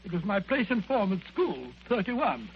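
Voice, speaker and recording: High-voiced, bumbling sidekick. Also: male voice, sing-song, normal speed. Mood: sheepish, pleased. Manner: stammering, flustered, repeats words. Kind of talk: 1940s radio drama acting. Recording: muffled, noisy old radio recording.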